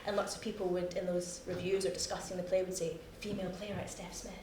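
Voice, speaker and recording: A woman's voice: on the low side, level tone, quick pace. Scottish playwright, young woman, chatty and self-mocking, heard from afar over a noisy room. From Scotland. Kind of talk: post-show discussion. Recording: echoey room, distant mic, noisy.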